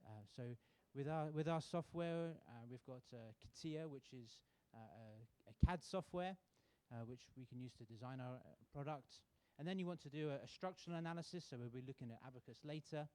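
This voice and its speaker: Relaxed British-born man, mellow voice. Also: medium pitch, dry recording. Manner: relaxed, halting with many fillers and restarts. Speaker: sponsor representative. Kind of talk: welcome speech at a contest. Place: university auditorium.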